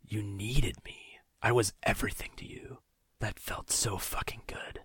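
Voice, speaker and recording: A man's voice: fairly low pitch, lively intonation, moderate pace, fairly bright tone, slightly rough, no echo, heavily acted. A man voicing a desperate young male captor in an audiobook, swinging from harsh taunts to pleading whispers. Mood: whispered. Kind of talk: audiobook character voice.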